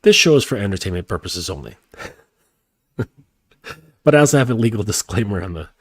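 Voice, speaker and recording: A man's voice: lowish voice, lively intonation, a little fast, no echo, studio quality. Stuffed-up nasal man hosting. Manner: rambling, frequent fillers and restarts. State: congested from a cold. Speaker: podcast host. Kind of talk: casual chat.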